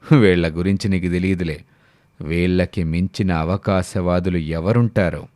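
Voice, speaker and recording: A man's voice: deep voice, steady tone, normal speed, darkish tone, no echo, studio quality, voice pushed down, lightly acted. A man voicing a bitter, jealous, miserly older village bigwig who grumbles resentfully in a moral story. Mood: bitter, cynical.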